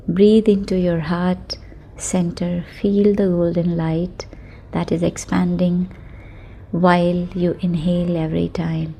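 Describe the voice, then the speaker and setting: A woman guiding a sleep meditation in a soothing, unhurried manner, speaking affirmations in short phrases with long pauses. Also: on the low side, level tone, vocal fry, mellow, slightly rough. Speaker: meditation guide.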